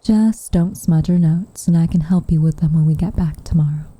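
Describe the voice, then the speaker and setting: A woman playing a gentle, wistful witch in an intimate ASMR roleplay, speaking softly and half-whispered, in a measured, tender way. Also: low-pitched, dry recording. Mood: gently teasing. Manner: soft, breathy, tender, measured delivery. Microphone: close microphone.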